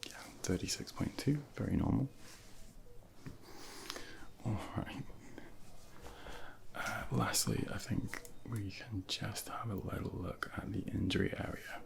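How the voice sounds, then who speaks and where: A man role-playing a junior doctor in a soft-spoken ASMR exam, half whispering in a gentle, hesitant, reassuring bedside manner on a close binaural microphone. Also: lowish voice, unhurried, vocal fry, pauses often. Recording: close binaural ASMR, faint background noise.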